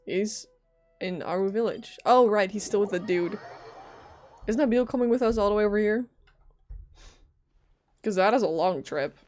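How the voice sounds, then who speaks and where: A woman's voice: mid-range voice, normal speed, long pauses, darkish tone, faint music behind. A woman doing casual live gameplay commentary, informal, playful and reactive as a streamer.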